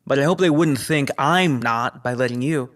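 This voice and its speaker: A man's voice: mid-range voice, normal speed, fairly bright tone, lightly acted. A man playing a chummy but guarded spaceship crewman in a naturalistic sci-fi audio drama, talking casually. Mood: explaining, confiding. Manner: casual, conversational.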